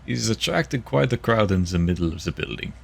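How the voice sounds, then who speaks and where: A man playing a guarded, matter-of-fact investigator in a sci-fi audio drama, with a dry, measured delivery. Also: on the low side, lively intonation, gravelly, breathy edge, exaggerated voice.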